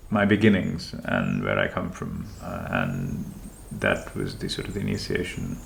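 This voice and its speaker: A man from Sri Lanka, a homeowner, talking in a slow, thoughtful and hesitant way about his house. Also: rumbling low, big pitch swings, creaky, a little hiss or hum. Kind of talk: reflective conversational chat.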